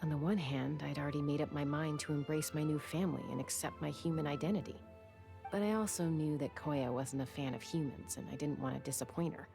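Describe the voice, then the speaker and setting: An actress playing a composed, wistful young woman in a video game, speaking in a measured, reflective way. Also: sits low, steady tone, vocal fry, over music. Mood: regretful, resolute. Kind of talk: video game dialogue acting.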